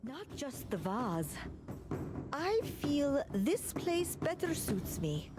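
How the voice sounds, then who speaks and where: A woman's voice: sits high, very animated, takes it slowly, a little mellow, soft background music, full character voice. An actress voicing a polite, eager, well-off woman antiquarian in video game quest dialogue, genteel and articulate. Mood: pleased, eager.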